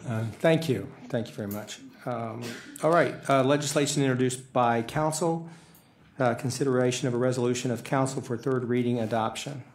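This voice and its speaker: An older man with an American accent, chairing a formal council meeting in a halting, procedural way. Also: mid-range voice. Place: council meeting room, indoors. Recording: echoey council chamber.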